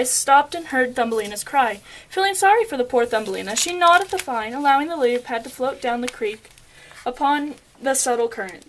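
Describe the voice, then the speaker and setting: A woman's voice: high-pitched, a little hiss or hum, low-quality recording. A young woman reading scripted storybook narration, occasionally stumbling over her words. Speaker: puppet show narrator.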